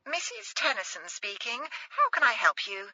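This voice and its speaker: Soft posh timid mum, older lady, quiet and a bit breathless with fondness, woman reading her. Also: a little high, wildly swooping pitch, moderate pace, no room sound, voice pushed up, exaggerated voice. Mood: timid, fond. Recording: slightly dull, dry recording.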